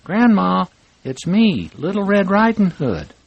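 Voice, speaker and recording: A man's voice: high-pitched, sing-song, takes it slowly, vocal fry, no room sound, dull audio, pitched above the performer's own voice, heavily acted. A man voicing a small sweet young girl who speaks innocently and with wonder.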